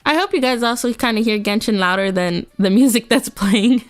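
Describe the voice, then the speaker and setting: Congested young woman rambling on stream, kinda high voice, filler words everywhere. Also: a little fast. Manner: chatty, rambling, giggly, lots of fillers. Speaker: VTuber streamer. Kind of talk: casual livestream chat. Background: faint music.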